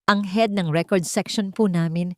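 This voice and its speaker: A woman's voice: mid-range voice, fairly even intonation, moderate pace, dry recording, studio quality, close to an everyday voice. A woman with a Filipino accent voicing a frightened, conscience-stricken old retired female hospital worker in a story. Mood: anxious confiding.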